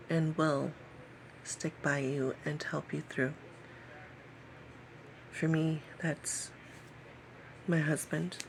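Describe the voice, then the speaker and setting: A woman in her late thirties talking personally to camera in a halting way, repeating phrases and sometimes dropping to a whisper. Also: deep voice, a little hiss or hum, low-quality recording. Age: late 30s. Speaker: vlogger.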